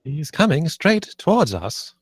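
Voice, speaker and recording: A man's voice: a little high, very animated, unhurried, breathy edge, no echo, heavily acted. A man playing a grumpy, exasperated veteran spaceship captain in a comedy table read. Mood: commanding. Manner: exasperated, sighing.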